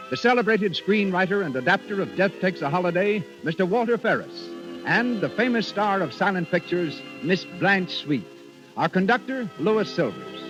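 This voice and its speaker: A man's voice: high voice, dull audio. An American man announcing in a formal, declamatory showman style for a 1930s radio broadcast, on a vintage recording. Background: music.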